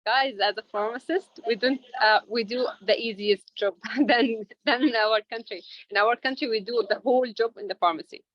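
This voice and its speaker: A woman interview guest speaking English as a second language, answering informally and haltingly as she searches for words. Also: on the high side. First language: not English. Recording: dull lo-fi audio.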